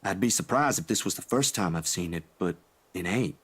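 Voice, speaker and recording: A man's voice: deep voice, animated, brisk, husky. A man acting a laid-back, caring young man in a visual novel, speaking casually and a little hesitantly. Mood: worried, hesitant.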